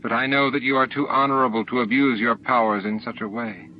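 A man voicing a gentle, affectionate and sorrowful young female alien in an audiobook, speaking formally. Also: medium pitch, fairly even intonation, a little fast, lightly acted. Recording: dull noisy audiobook recording.

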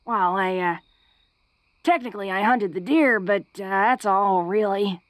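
A woman's voice: mid-range voice, measured pace, no echo, close to an everyday voice. A woman playing a tough, flirty, secretly awkward female orc in an intimate romantic audio roleplay, speaking in a hesitant, folksy, teasing way. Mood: bashful, affectionate.

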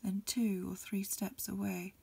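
A woman reading a storybook aloud as ASMR in a breathy, mostly whispered voice, a little hesitant, with small stumbles. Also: mid-range voice, level tone, a little slow, dark tone, no room sound. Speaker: amateur reader. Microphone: close recording, lo-fi home setup.

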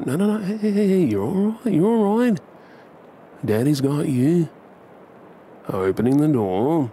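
A man's voice: mid-range voice, a little mellow, a little hiss or hum. A man with an Australian accent playing a tender, playful husband and dad in an intimate ASMR role play, affectionate and coaxing. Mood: weary, soothing.

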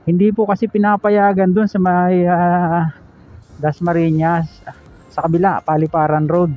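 A Filipino-accented man narrates a road vlog in a high, easygoing voice, full of polite fillers and little tag questions. He speaks outdoors from a camera on the move. Faint music sits underneath. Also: little pitch movement, a little slow, narrow, tinny audio. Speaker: vlogger.

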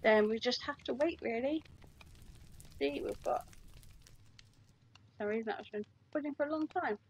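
Female, slightly high pitch, casual step-by-step tutorial read. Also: a little slow, a little hiss or hum, low-quality recording. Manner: chatty, explains step by step with fillers. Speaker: game tutorial presenter. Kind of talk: casual screen-recorded tutorial.

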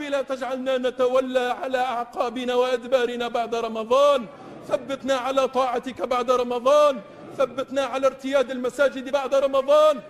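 A man leading a congregational supplication as imam, pleading with passion in chanted, repeated phrases. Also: extremely high pitch. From Tunisia. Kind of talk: Islamic supplication prayer in classical Arabic, chanted oratory. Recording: long echoing reverb of large space.

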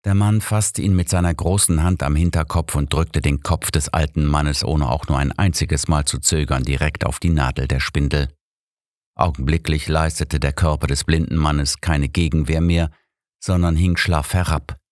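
A man's voice: extremely low pitch, fairly even intonation, moderate pace, no room sound, clean studio sound. A man reading scripted crime fiction as an audiobook narrator.

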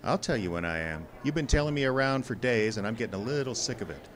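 An actor playing a cynical, wisecracking tough private detective in a radio drama, wry and clipped. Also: male voice, mid-range voice, lively intonation, fast, background noise, natural voice. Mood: tough, needling. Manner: hard-boiled, wry, clipped. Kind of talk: old-time radio drama acting.

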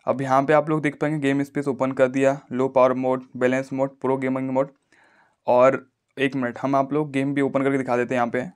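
A man's voice: mid-range voice, monotone, fairly quick, clear, resonant tone. Man explaining phone features. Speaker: tech tutorial YouTuber. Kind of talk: casual screen-recorded phone tutorial.

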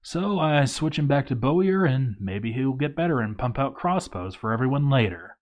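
Male narrator, medium pitch, for dramatic readings of game playthrough tales. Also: big pitch swings, moderate pace, lo-fi sound.

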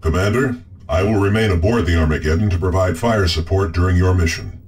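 An actor playing a resolute, steady space marine officer in a video game, speaking firmly and formally. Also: male voice, low-pitched, brisk, close to an everyday voice. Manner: firm, formal. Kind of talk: video game mission dialogue. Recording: distant voice under loud music, engine sounds.

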